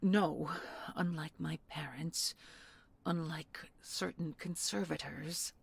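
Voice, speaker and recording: A woman performs a deposed spy commandant in a sci-fi audio drama, guarded and strung tight. The voice is very breathy and raspy with a creaky edge. The delivery is slowish, halting and defensive. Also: sits low, fairly even intonation, heavily acted. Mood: guarded, bitter. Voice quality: husky, breathy, gravelly. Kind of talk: audio roleplay acting.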